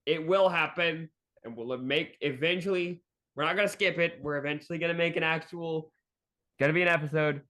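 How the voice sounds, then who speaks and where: A man's voice: a little high, fairly even intonation, fairly quick, pushed and bright. A man in casual podcast conversation, speaking in an earnest, emphatic way. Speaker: fandub team member on podcast.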